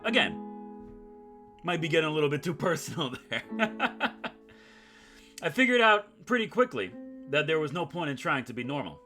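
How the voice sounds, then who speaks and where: A man's voice: on the high side, very animated, normal speed, long pauses, sharp tone. A man doing let's play commentary, reading game text aloud and breaking in with sarcastic, self-mocking asides. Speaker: gaming YouTuber, host of a playthrough. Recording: guitar music behind the voice. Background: acoustic guitar game music.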